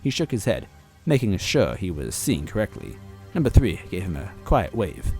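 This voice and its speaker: Lively low-voiced man reading a story. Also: moderate pace, faint music behind. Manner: animated, playful. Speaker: narrator. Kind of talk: reading fan fiction aloud.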